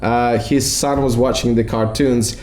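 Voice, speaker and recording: A young man from Latvia, chatting on a podcast in a curious, animated way. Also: mid-range voice, a little slow, echoey room. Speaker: podcast co-host, actor. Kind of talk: casual chat.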